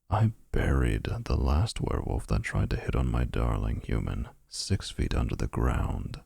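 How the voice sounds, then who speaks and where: A man's voice: rumbling low, creaky, mellow, slightly rough, no echo, studio quality, heavily acted. A man voicing a possessive, smug male vampire suitor in a boyfriend roleplay, talking in a menacing, boastful way. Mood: threatening.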